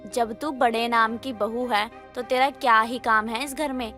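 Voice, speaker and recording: A woman's voice: on the high side, fairly even intonation, unhurried, sharp tone. A woman voicing an exasperated, scolding middle-aged mother-in-law in a moral story cartoon, complaining and nagging. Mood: angry. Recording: music under the voice.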